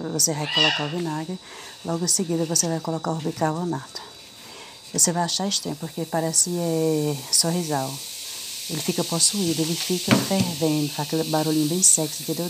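Joking woman, noisy kitchen. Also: low-pitched. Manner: jokey, slangy and teasing, playful asides. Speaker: home cleaning vlogger. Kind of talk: casual chatty tutorial. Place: home kitchen, voice heard while cleaning a stove. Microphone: phone camera held close over the stovetop. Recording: loud background noise.

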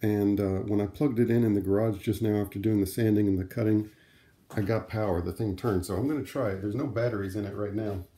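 A man's voice: fairly low pitch, fairly even intonation, fast, dark tone. A middle-aged man giving a casual, unscripted demonstration, talking conversationally and thinking aloud as he handles things. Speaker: vlogger, vintage audio hobbyist. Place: room at home, indoors.

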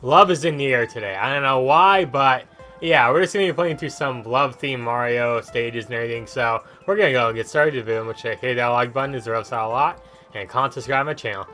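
A man with an average-pitched voice hosts a gaming video. He comments casually and rambles, reacting aloud to what is in front of him. Game music plays behind his voice. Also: brisk, bright, forceful tone.

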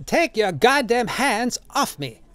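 A man's voice: high-pitched, sing-song, moderate pace, no room sound, voice pushed up. A man voicing a proud, impoverished old man who speaks in impassioned, formal protest. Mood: outraged.

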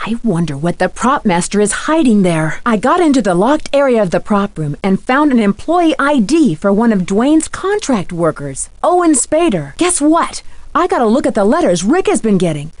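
A woman's voice: fairly high pitch, big pitch swings, moderate pace, darkish tone, no echo. A woman playing a polite, determined young female detective in a video game, earnest and inquisitive. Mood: eager, confiding.

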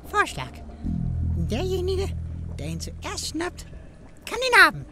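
A woman's voice: very high-pitched, wildly swooping pitch, takes it slowly, heavy background noise, exaggerated voice. A woman playing a greedy, gleeful fiend from hell in a radio play, gloating and pleading for fresh prey.

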